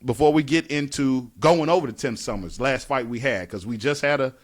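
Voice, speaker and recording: A man's voice: mid-range voice, a little fast. A man with a Kentucky accent hosting a casual interview, laid-back and full of slang as he hypes people up. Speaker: host.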